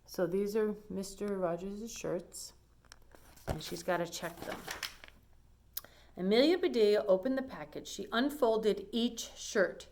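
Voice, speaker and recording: Female children's storyteller, middle-pitched, reads aloud and explains simply to young listeners. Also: unhurried, a little mellow.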